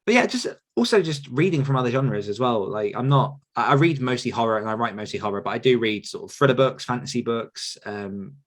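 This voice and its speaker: A man in his thirties talks loosely through listener questions on a podcast. His voice sits at an everyday middle pitch. He wanders, circles back and restarts his thoughts, and laughs easily. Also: a little fast, hardly any pauses. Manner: relaxed, rambling with fillers and restarts, laughs often. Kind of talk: casual chat.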